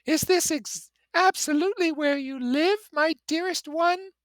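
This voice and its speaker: Man putting on a very high, gushing society lady. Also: a little slow, a bit bright, dry recording, studio quality. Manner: gushing, theatrical.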